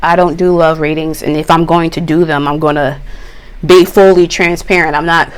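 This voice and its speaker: A middle-aged woman giving a tarot reading in a chatty, slangy way, a little hoarse from a cough. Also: low voice. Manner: slow, relaxed, chatty with slang. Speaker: tarot reader. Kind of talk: pick-a-card tarot reading.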